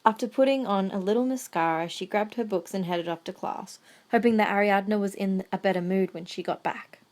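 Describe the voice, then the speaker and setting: A woman's voice: mid-range voice, fairly quick, a little mellow. A woman reading scripted third-person narration for an amateur audio drama.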